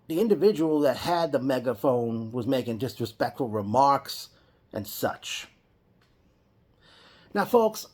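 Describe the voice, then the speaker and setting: A man talks through his reaction at a middling pitch, in an unscripted vlog style. He often stops to correct and restart his sentences. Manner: rambling, with frequent restarts and self-corrections. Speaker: wrestling fan vlogger. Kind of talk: casual spoken reaction vlog.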